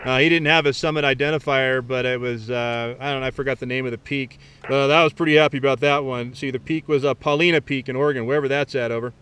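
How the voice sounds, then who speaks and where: A man's voice: medium pitch, steady tone, fast, a bit bright, clear, resonant tone. A man talking casually and easily outdoors as an amateur radio operator, in colloquial language. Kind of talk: casual radio chat and outdoor vlog talk. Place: outdoors on a rocky mountain summit. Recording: faint outdoor background noise.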